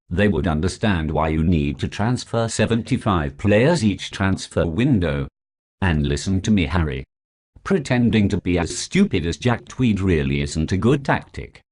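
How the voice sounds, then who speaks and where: A man playing a deep, sneering prosecutor. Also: darkish tone. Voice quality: sarcastic, contemptuous. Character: sneering foul-mouthed prosecuting lawyer. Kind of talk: comedy sketch acting.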